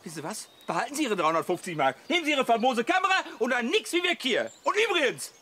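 An actor playing a hot-headed, stubborn, grumbling husband in a radio play, blustering and indignant. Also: male voice, very high voice, sing-song, fast talker, pushed and bright, exaggerated voice. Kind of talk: German radio play acting.

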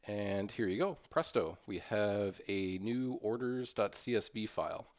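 A man presenting an informal screen-share software tutorial in a hesitant, explanatory way. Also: fairly low pitch, unhurried. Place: voice-over recorded over screen capture. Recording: dull, muffled lo-fi audio.

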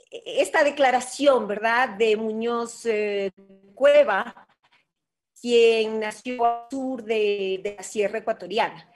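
A middle-aged woman with an Ecuadorian Andean accent, giving an online linguistics lecture in a measured, explanatory way with fillers and tag questions, recorded on a webcam. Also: a little high. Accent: Ecuadorian Andean Spanish. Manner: measured, explanatory, with frequent fillers and rhetorical tags. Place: home office with bookshelves. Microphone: webcam on a video call.

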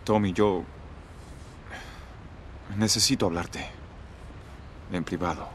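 An actor playing a weary, gruff middle-aged male survivor in a dubbed video game, terse and guarded. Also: low-pitched, slow, a little hiss or hum. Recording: game audio over soft music.

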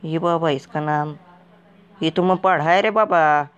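A man's voice: fairly high pitch, fairly bright tone, clear, resonant tone, highs cut off. A man putting on a bumbling talking game wanderer. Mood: baffled, jittery. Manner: ends nearly every line with a drawn-out "re baba" catchphrase, jumpy and naive. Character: clueless, excitable uneducated man wandering a game. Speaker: gaming video character. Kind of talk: comic game commentary in character.

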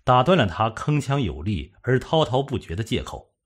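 A man's voice: medium pitch, very animated, moderate pace, husky. A man narrating a suspense novel from a script, as an audiobook reader.

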